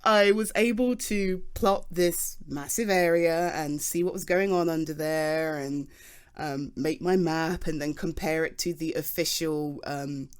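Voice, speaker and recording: A woman acting out a nerdy, good-humoured geologist with a British accent and a slightly low voice. She talks in a chatty, halting way, as if explaining to one listener in an ASMR roleplay. She is flustered but keen and earnest about her subject. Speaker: audio roleplay performer. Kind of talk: ASMR roleplay, conversational one-sided dialogue.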